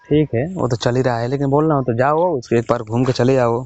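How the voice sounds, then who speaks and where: A man's voice: mid-range voice, fairly even intonation, fast talker, a little mellow. A man in a casual private phone conversation, giving instructions insistently and repeatedly checking that he is understood. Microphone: phone call. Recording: dull lo-fi phone call recording.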